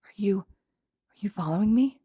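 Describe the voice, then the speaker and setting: A woman's voice: medium pitch, lively intonation, normal speed, dark tone, breathy edge, no room sound. A woman voicing a timid, anxious, bullied schoolboy with a hesitant stammer in an audiobook-style story. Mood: wary.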